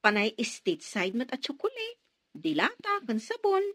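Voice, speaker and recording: An actress with a Filipino accent playing a gossipy, teasing younger sister in a radio drama. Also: female voice, high voice, very animated, measured pace, a bit bright, no room sound, exaggerated voice.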